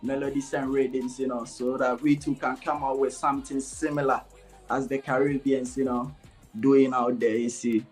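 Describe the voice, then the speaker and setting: A man's voice: mid-range voice, flat delivery, normal speed, faint music behind. A young man from Ghana with a Ghanaian accent mixed with Jamaican patois. He talks in a casual interview style, keeps asking himself "what?" and answering it, and says "you know" all through. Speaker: dancehall artist, guest. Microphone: remote video call.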